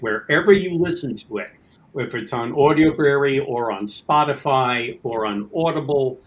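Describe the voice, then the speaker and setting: Man, medium pitch, casual chat, guest producer. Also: poor audio.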